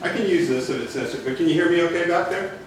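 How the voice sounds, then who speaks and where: A man's voice: fairly high pitch, animated, rapid-fire, slight background noise. Middle-aged man, council candidate, plain-spoken stump speech projected to the back row, distant room sound. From Portland, Oregon. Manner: loud, plain-spoken, speaks without a mic. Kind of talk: campaign speech at a community meeting. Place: community meeting room. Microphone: far room recording. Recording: very echoey room, voice from far off.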